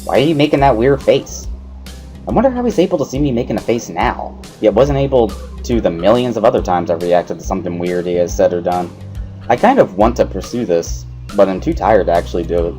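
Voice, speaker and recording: Guy doing dramatic story narration, kinda low voice, some music in the background. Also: sing-song, fast, warm tone. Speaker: narrator of a let's play dramatic reading.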